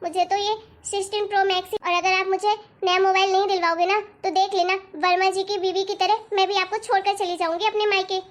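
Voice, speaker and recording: Dramatic young cartoon wife played by a woman, extremely high squeaky pitch, whiny and insistent. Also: little pitch movement, a little fast, hardly any pauses. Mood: demanding. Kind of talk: amateur Hindi cartoon story dialogue.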